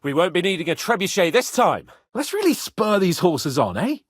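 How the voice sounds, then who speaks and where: Cocky young noble for a medieval game, boastful n hot-headed, bit raspy, really animated, dry close recording. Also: male voice, high voice, moderate pace, no echo, exaggerated voice. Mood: cocky, friendly. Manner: swaggering, teasing, colourful swearing. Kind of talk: video game character acting.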